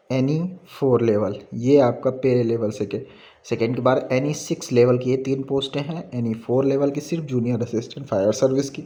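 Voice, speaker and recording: An Indian man with a soft, mellow voice walks through an official notice in an informal way. His tone is relaxed and his pitch sits mid-range. He reads phrases out haltingly, then explains them plainly. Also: dull audio. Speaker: presenter of a job recruitment explainer.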